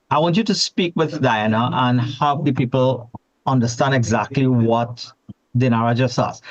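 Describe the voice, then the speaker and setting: A man with a Trinidadian accent presenting at an online forum, chatty and repeating words as he restarts. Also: medium pitch. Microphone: video call. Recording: dull lo-fi video call audio.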